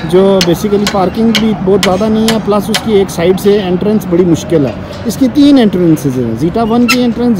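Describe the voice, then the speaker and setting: A man giving a persuasive walking tour of a construction site and a mall, pitching property to investors. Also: background noise. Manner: salesy, persuasive. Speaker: real estate marketer. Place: outdoors at a construction site, then inside a shopping mall.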